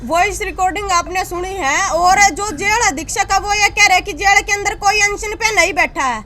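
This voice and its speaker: A woman's voice: very high-pitched, moderate pace, sharp tone, slight background noise. A woman speaking as an activist spokesperson, giving a statement to reporters. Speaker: activist spokesperson at a press briefing.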